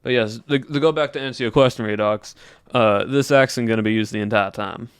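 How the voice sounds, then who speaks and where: A man's voice: medium pitch, fairly quick, clean tone, dry recording, studio quality. A man streaming live and chatting casually with viewers in a put-on Southern accent, musing aloud in a loose drawl. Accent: put-on American Southern. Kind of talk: casual livestream chat with viewers.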